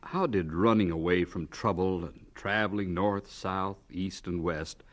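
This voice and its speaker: A man narrating an old-time radio drama as announcer and formal interviewer, measured and dramatic. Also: sits low. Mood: measured, inquiring.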